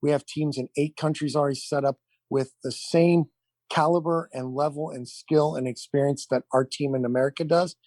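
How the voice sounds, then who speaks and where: A man's voice: a little high, fairly even intonation, moderate pace, darkish tone, no room sound, clean studio sound. A man giving earnest, enthusiastic interview answers as an event founder, effusive and given to repeating his points. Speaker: event founder, podcast guest.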